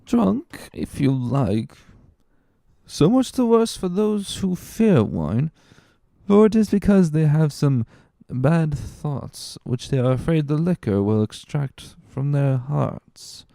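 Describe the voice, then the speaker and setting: A tipsy, good-natured drinker from a classic storybook. His voice rides high and lurches up and down. The words drag out in a slurred, boastful ramble. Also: sing-song, slow pace, gravelly, warm tone, no echo, clean studio sound, heavily acted. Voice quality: drunken drawl, pitched up.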